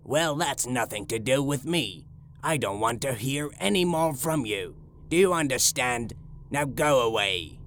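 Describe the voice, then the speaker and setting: A man's voice: sits low, big pitch swings, very slow, hardly any pauses, fairly bright tone, a little hiss or hum, heavily acted. A man playing a tall, angry goose seller in a dramatised audiobook, curt, impatient and dismissive.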